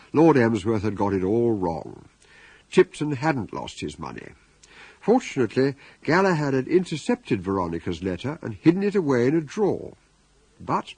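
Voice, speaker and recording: A man's voice: mid-range voice, husky, no room sound. A man, announcing and narrating a scripted radio comedy serial.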